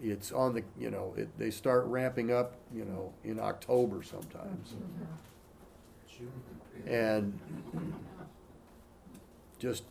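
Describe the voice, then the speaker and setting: A man's voice: lowish voice, fairly even intonation, takes it slowly, vocal fry, long pauses, a little mellow, slight background noise. Man giving a casual Bible teaching. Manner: reads scripture aloud between informal asides; loose, with frequent "you know" fillers. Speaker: pastor.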